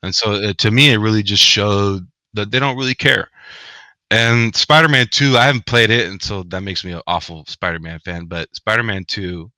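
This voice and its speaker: A man's voice: lowish voice, moderate pace, dry recording. A man whose first language is Spanish, answering interview questions earnestly and often tagging his points with "right?". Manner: earnest, animated, frequent "right?" tags. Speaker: guest author. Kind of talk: podcast interview.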